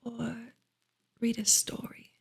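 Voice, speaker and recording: A woman speaks in a very breathy, whispered ASMR style, chatting gently to livestream viewers. Her delivery is slow and halting, with soft phrases and long pauses. The voice sits fairly high beneath all the air. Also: completely flat delivery.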